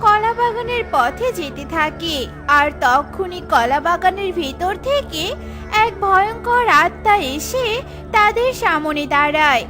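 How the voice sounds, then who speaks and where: A woman's voice: very high-pitched, animated, unhurried. A woman narrating a children's cartoon story from a script. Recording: music under the voice.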